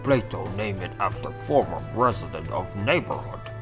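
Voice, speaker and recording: A man's voice: low voice, expressive, moderate pace, over music, voice pushed down. A man voicing a brutish, simple-minded Plato as a caveman-like strongman who talks bluntly in broken grammar. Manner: broken grammar, blunt, third-person speech.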